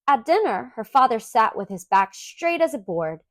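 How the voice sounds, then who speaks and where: A woman narrates a picture book for young children with a fairly high voice that swings widely in pitch. Her delivery is expressive and clearly enunciated, and the sound is dry and close. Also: normal speed, no echo. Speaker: storybook reader.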